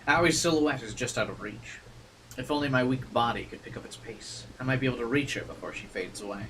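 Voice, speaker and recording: A man's voice: medium pitch, a little fast, a bit bright. Casual male streamer, distant mic with hiss. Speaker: streamer playing a visual novel. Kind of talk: casual commentary mixed with reading narration aloud.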